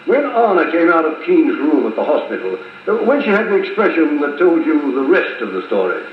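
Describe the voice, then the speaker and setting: An actor playing an inquisitive, insistent gentleman with halting old-fashioned speech in a 1950s radio drama, on an old wire recording. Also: on the high side, big pitch swings, reverberant, narrow, tinny audio, a little hiss or hum. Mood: curious.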